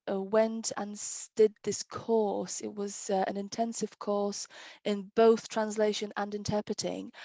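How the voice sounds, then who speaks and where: A woman in her thirties from Poland, Polish her first language, telling her story as a guest interpreter in an eager, animated way, laughing often. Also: mid-range voice, no room sound. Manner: self-described competitive.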